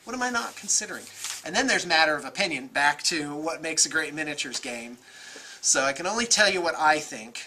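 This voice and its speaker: An American man in his forties giving casual, off-the-cuff opinions in an animated, conversational way. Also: fairly high pitch, a little fast, low-quality recording. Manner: animated, gesturing, conversational, self-qualifying. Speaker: vlogger, miniatures shop owner. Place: indoor room with bookshelves.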